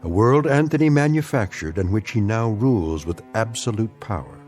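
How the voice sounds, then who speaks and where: Actor as deep, ominous male narrator. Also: expressive, darkish tone, natural voice. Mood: grave, ominous. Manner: measured, portentous. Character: grave, ominous male storyteller of eerie tales. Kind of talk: dramatic scripted radio narration. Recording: music under the voice.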